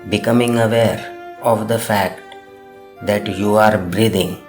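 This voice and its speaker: A man narrating a guided meditation, giving gentle instructions in short, measured phrases with long pauses between them. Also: lowish voice, fairly even intonation. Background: music.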